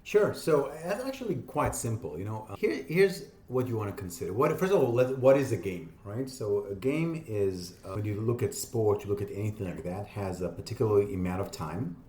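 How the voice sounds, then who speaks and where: A man in his fifties from Israel, a podcast guest explaining his ideas in an animated, conversational way. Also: lowish voice. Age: 50s. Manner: animated, explaining with quick asides and laughs. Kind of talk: casual interview talk. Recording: echoey room.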